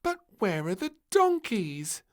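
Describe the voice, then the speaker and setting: Storybook read-along: a man doing an eager little girl princess, voice pushed way up high and loud, bouncy and squealing. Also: huge pitch swings, slow pace, heavily acted. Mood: disappointed.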